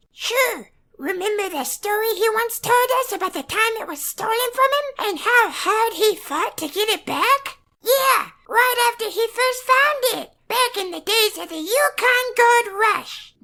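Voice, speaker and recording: An actor voicing an excitable young cartoon duck nephew who tells stories earnestly and eagerly in a fan comic dub. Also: extremely high pitch, unhurried, heavily acted. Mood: earnest storytelling. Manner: earnest, enthusiastic storytelling.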